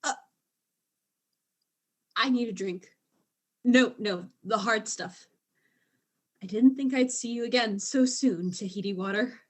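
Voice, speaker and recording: A woman plays a guileless alien trade spy who has been drinking. Her voice is high, and she talks in an earnest, muddled way, as in a comic space play. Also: takes it slowly, pauses often. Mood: rattled.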